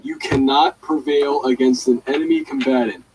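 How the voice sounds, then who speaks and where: A man's voice: medium pitch, steady tone, normal speed, darkish tone, husky, low-quality recording. A man reading a written document aloud in the manner of an audiobook reader.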